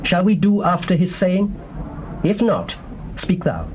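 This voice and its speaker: Imperious young royal, declamatory, scripture drama, swooping delivery, slightly hissy cassette sound. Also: male voice, high-pitched, big pitch swings, measured pace. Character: Absalom, imperious young prince seeking counsel. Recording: hissy old cassette recording.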